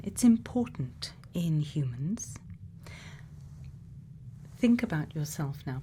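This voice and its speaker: A woman doing a soft-spoken, often whispered ASMR roleplay as a caring scientist, speaking gently and without hurry in a soothing way. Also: deep voice. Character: sweet, caring scientist speaking to a robot friend. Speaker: ASMR performer playing a scientist. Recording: faint background noise.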